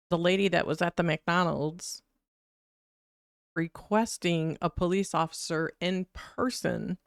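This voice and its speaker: Female commentator, unhurried and clean-toned, questioning and skeptical, dry recording. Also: low voice, smooth, dry recording. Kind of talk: casual commentary.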